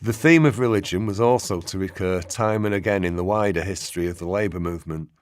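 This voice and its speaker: A man's voice: low voice, fast talker, no room sound. A man narrating a historical radio play in a scripted documentary style.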